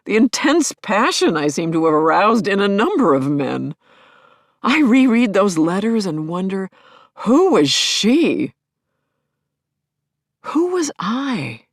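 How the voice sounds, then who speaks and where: Intimate grieving widow, faintly creaky. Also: female voice, mid-range voice, no echo, studio quality, full character voice. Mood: searching, self-questioning. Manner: intimate, searching, dropping into breathy whispers. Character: grieving middle-aged widow telling her story, searching and wistful. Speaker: actor in an audio play. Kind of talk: dramatic first-person monologue, audio play.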